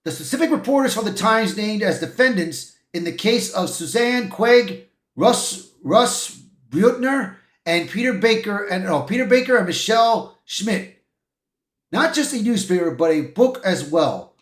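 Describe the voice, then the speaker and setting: A man's voice: high voice, animated, a little slow, roomy sound. A man hosting a livestream, reading articles aloud with casual, opinionated commentary and the odd stumble over words. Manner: informal, opinionated, punctuated with "bro", "man" and "dude". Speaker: livestream host.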